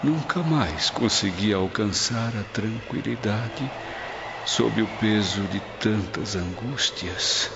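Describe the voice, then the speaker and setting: A man's voice: lowish voice, slow pace, creaky, background noise. Weary, grieving Roman nobleman. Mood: grieving, hopeful. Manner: grave, solemn, theatrical. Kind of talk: dramatised radio play acting. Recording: dull lo-fi audio under loud music.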